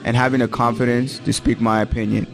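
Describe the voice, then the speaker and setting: A young man from Tanzania reciting a spoken word piece in a measured, earnest way. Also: mid-range voice, little pitch movement, heavy reverb, far from the mic. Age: young adult. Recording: music playing underneath.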